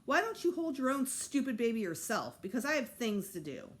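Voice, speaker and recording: A woman's voice: fairly high pitch, sing-song, brisk, pitched above the performer's own voice. A woman voicing an indignant, sulky and stubborn preteen boy in a storybook, complaining emphatically with sarcasm.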